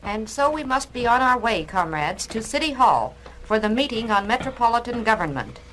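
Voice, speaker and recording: A woman's voice: on the high side, big pitch swings, moderate pace, fairly bright tone. A woman playing a stern, businesslike communist cell organizer in a formal, authoritative way, on a dramatized spoken-word record. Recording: noisy 1960s spoken-word LP.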